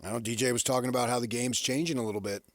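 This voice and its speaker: A man's voice: mid-range voice, fairly even intonation, very fast, no echo, studio quality. A man chatting casually as a co-host on a sports radio show.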